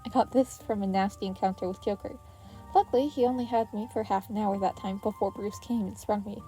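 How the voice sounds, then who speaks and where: A woman voicing an earnest, excitable teenage boy hero who gets flustered, in an anime fan story reading. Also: medium pitch, a little fast, faint music behind, lightly acted. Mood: recounting, earnest. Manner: stammering when flustered.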